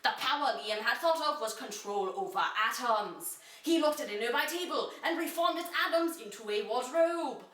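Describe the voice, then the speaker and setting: Echoey, high-voiced woman reading a story aloud. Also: animated, moderate pace, hardly any pauses, a bit bright. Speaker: narrator reading own childhood story. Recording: roomy echo, distant microphone.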